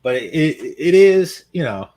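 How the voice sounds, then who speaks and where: A man's voice: fairly high pitch, sing-song, a little slow, dark tone. A man from Oakland chatting casually as a podcast co-host, telling stories in a wry, matter-of-fact way. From Oakland, California. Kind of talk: casual chat.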